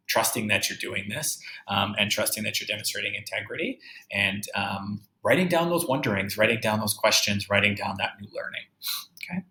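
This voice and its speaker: A male grade-school teacher reads to children in a bright, highly expressive voice. He reads with deliberate emphasis, then muses aloud and puts questions straight to his young listeners. The sound is roomy and echoey. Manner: musing aloud, addressing children directly with questions. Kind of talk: read-aloud lesson with think-aloud commentary.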